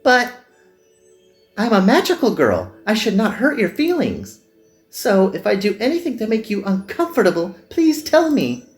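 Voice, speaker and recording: Man putting on an earnest high-pitched magical girl. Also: huge pitch swings, normal speed, roomy sound, faint music behind. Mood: apologetic. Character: earnest, gentle young magical girl recruiting a newcomer. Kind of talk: visual novel character dubbing.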